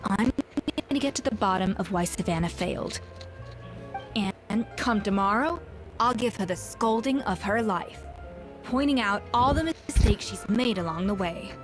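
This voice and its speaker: A woman voicing an earnest, exacting young female chef in a video game, speaking in a measured, reflective and firm way. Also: medium pitch, expressive, natural voice. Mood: resolute. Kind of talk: scripted video game voice acting. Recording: game music behind the voice.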